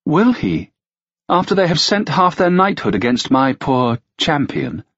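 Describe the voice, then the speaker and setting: A man's voice: fairly high pitch, very animated, measured pace, a bit bright, husky, no echo, dull audio, pitched above the performer's own voice, exaggerated voice. A man voicing a haughty medieval princess who asks light, probing questions.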